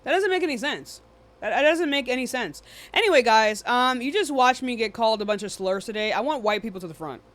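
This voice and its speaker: A woman's voice: on the high side, lively intonation, brisk, fairly bright tone. A woman hosting a live debate stream in a casual, blunt, dismissive and often profane way. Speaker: livestream debate host.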